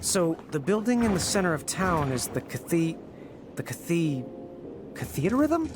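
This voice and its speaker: A man's voice: on the high side, very animated, unhurried, noisy background. A young man playing a brash, goofy teenage boy hero in a video game, slangy and exaggerated. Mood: baffled. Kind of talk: animated video game voice acting. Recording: music behind the voice.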